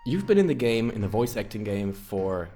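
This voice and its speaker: A man's voice: on the low side, big pitch swings, moderate pace, dark tone. A man who is a non-native English speaker, hosting a casual interview podcast. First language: not English. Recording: clear guitar music under the voice.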